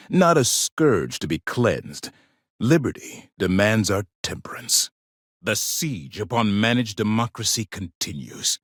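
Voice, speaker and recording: An actor playing a zealous military propaganda officer in a video game, speaking in a solemn, stately, oratorical manner with grandiose patriotic fervor. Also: male voice, mid-range voice, a bit bright, no echo, studio quality. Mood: urgent, solemn. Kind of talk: theatrical video game voice acting.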